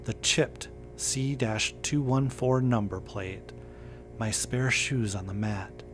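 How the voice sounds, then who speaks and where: A man reading a scripted first-person horror story in a measured, understated way. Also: mid-range voice, music in the background. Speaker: narrator.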